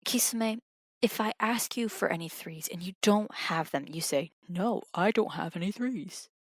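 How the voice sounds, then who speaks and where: An actress playing a bossy, easily exasperated royal attendant in a comic audio drama. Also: female voice, fairly low pitch, measured pace, dry recording, clean studio sound. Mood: exasperated, confused.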